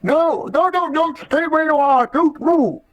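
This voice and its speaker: An actor playing an absent-minded, fussy, polite eye doctor who stammers and repeats himself. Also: male voice, very high voice, a little slow, no echo, dull audio. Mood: urgent. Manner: stammering, repeats words urgently.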